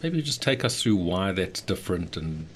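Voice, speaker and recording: A man sits in on a studio podcast and asks the guest a question in a plain, direct way. His voice is very deep. There is a faint room hiss behind him. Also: big pitch swings, moderate pace. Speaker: company managing director, co-host. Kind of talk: interview chat.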